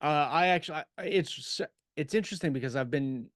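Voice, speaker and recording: A man co-hosting a writing podcast in casual shop talk, with a halting way of circling back to rephrase. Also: a little high, no room sound, clean studio sound. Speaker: podcast co-host and science fiction writer.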